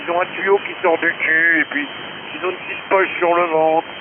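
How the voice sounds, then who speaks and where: A man's voice: a little high, level tone, normal speed. A man chatting casually as an amateur radio operator, crude and slangy, over a shortwave transmission. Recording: hissy narrowband shortwave radio with static.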